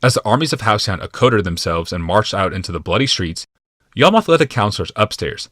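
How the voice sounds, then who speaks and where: A man with a low voice narrates a fantasy audiobook. His delivery is deliberate and dramatic, clearly scripted storytelling. The sound is close and dry, without room echo. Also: big pitch swings, fairly bright tone.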